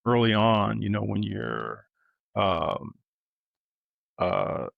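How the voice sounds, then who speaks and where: Gravelly, low-voiced man talking casually. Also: steady tone, very slow, no room sound, narrow, tinny audio. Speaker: podcast co-host. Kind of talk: casual reflective podcast conversation.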